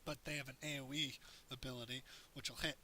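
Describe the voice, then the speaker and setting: A man with a hoarse voice, casually talking through a strategy game as he plays it, explaining tips in a loose, rambling way. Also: mid-range voice, level tone, a little fast, bright, forceful tone, slight background noise. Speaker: gameplay commentator. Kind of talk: casual game tutorial commentary.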